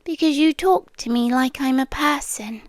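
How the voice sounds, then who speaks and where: A woman voicing a lonely, eerie trapped woman who is half a monster. She speaks slowly and softly, often in a breathy whisper, with coaxing intimacy. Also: high-pitched, no echo, lightly acted. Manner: slow, soft and intimate, coaxing. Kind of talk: second-person audio drama.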